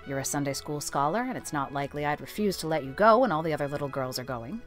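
Storybook guardian: practical older spinster, woman acting, low-pitched, clipped, stern and brusque. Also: lively intonation, quick pace, soft background music. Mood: scolding. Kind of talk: audiobook character acting.

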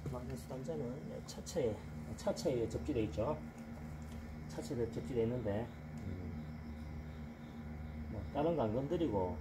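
A middle-aged man explaining farm machine repair while he works outdoors, in a blunt, colloquial way that slips into complaints. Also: mid-range voice. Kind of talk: casual how-to explanation while working. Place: outdoors on a gravel farmyard. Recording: outdoor ambient noise.

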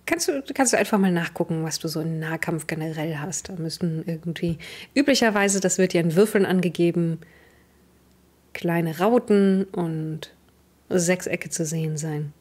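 A young adult woman with a slightly husky voice, narrating a tabletop role-playing game as game master in vivid descriptive detail. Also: fairly low pitch, normal speed, darkish tone. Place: indoor home streaming setup. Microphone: headset.

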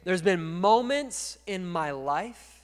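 A man in his thirties preaching a sermon as pastor on a church stage, speaking into a handheld mic and swinging from quiet to loud. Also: high voice, lively intonation, slow pace, smooth. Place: large dark church stage. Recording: long reverb of a large church hall.

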